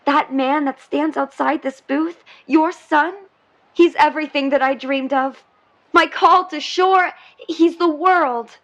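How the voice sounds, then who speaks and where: A woman's voice: high-pitched, slow pace, a bit bright, heavily acted. A woman playing an earnest, dreamy young mermaid in love, in a 1950s period audio drama, with wistful, lyrical delivery. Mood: tender, playful.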